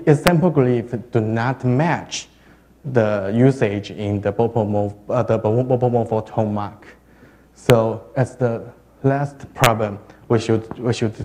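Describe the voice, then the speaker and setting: A man giving a technical conference presentation on web standards. He speaks in a hesitant, halting way, restarts often and uses non-native phrasing. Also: fairly low pitch, a little mellow.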